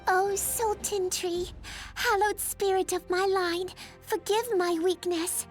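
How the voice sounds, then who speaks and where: A woman's voice: extremely high pitch, little pitch movement, takes it slowly, soft background music. An actress playing a proud, headstrong young noblewoman in a fantasy game, speaking formally and imperiously. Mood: solemn, slow. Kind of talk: scripted video game cutscene acting.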